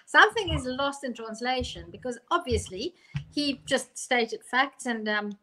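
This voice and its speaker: A woman's voice: high voice, lively intonation, unhurried, pushed and bright, rough recording. A middle-aged woman with a South African accent, speaking off the cuff as a panelist on a video call. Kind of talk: unscripted panel discussion. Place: home room on a video call.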